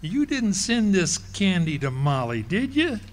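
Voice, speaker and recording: An amateur actor playing a bumbling, boastful husband in a live radio play, stammering and folksy. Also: male voice, high-pitched, big pitch swings, a little slow. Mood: scheming. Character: bumbling boastful husband, middle-aged, fibbing. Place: ballroom stage before an audience.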